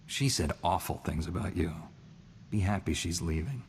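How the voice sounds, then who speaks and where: An actor playing an earnest male Jedi knight in a video game, speaking calmly and reprovingly. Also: low-pitched, in a large hall, slight background noise. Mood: stern. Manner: calm, reproving, measured. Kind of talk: video game character dialogue.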